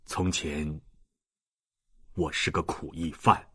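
Male narrator as sorrowful old convict, low-pitched, slow, solemn, storybook reading. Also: flat delivery, sharp tone, husky, dry recording, clean studio sound, full character voice. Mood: grave, confessing.

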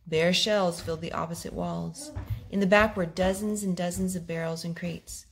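A woman reading a picture book aloud at home, expressive and gentle, as a host talking to small children. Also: fairly low pitch, normal speed. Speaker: storytime host, reader to young children. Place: home living room with wooden floor. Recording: home video with clear background noise.

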